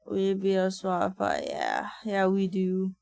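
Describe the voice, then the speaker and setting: Tired, slow-talking woman with a gravelly voice. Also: medium pitch, wildly swooping pitch, a little mellow, dry recording, studio quality. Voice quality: raspy when sleepy. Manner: chatty, swears and laughs often. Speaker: streamer. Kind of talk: casual livestream chat.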